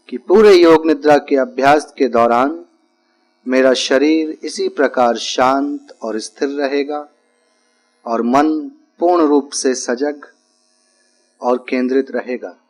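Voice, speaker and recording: A man giving guided relaxation instructions as a yoga nidra teacher, speaking calmly and deliberately in short, repeated phrases with pauses. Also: fairly high pitch, poor audio.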